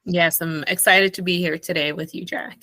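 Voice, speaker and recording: A woman's voice: fairly low pitch, fairly even intonation, moderate pace, bright, forceful tone, no echo. A middle-aged woman from Guyana, a psychologist being interviewed, talking in a thoughtful, reflective way with light laughs. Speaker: psychologist guest. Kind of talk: casual interview talk.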